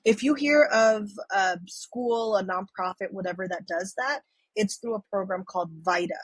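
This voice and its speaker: A woman's voice: mid-range voice, normal speed, husky, no room sound, rough recording. A woman in her thirties from San Francisco, a guest on a podcast, who tells stories in a rambling way full of fillers, restarts and casual swearing. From San Francisco, USA. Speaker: podcast guest and community organizer. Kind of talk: casual storytelling chat.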